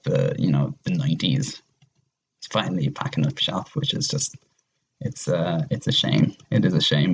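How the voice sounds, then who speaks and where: Casual male podcast guest, low register with vocal fry, informal and slangy. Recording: clearly noisy background with clicks.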